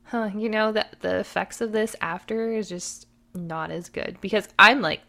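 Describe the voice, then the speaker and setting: Gravelly-voiced woman podcast co-host. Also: medium pitch, normal speed. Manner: relaxed, laughs easily, agreeable. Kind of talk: casual chat.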